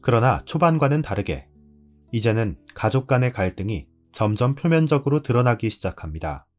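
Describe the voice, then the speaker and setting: A man's voice: medium pitch, very animated, normal speed, a little mellow, faint music behind. A man hosting and reading a scripted narration. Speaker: host.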